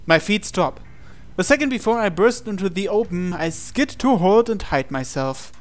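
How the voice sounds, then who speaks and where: A man reading a visual novel aloud as a dramatic narration, with deliberate pauses. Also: sits high, animated, slight background noise. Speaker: gaming channel host. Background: game music.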